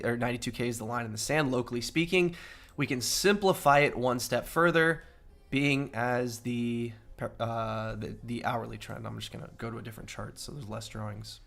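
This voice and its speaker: A man's voice: mid-range voice, vocal fry, pushed and bright. A man hosting a trading livestream, talking through charts in a casual, explanatory way. He often checks in with "right?" and sounds slightly nasal as he gets over a cold. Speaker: crypto trading livestream host. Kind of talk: casual chart analysis with chat.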